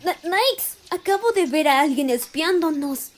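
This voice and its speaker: Young female cartoon canine, played by a woman: very high voice, suspicious, excitable, pushy and demanding. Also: unhurried. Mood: alarmed, suspicious. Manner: demanding, insistent. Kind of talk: animated fandub acting.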